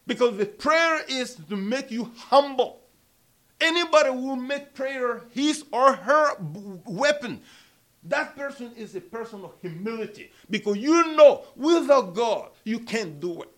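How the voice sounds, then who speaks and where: A man preaching a sermon and leading prayer in a small church, speaking emphatically with a strong voice that rises loud on key words. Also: very high-pitched. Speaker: pastor.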